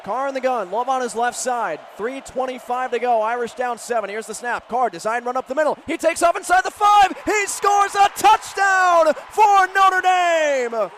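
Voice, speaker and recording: High-pitched male football play-by-play announcer. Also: normal speed. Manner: excitable, bursts into shouting on big plays. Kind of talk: live football radio play-by-play commentary. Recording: live stadium broadcast with faint noise.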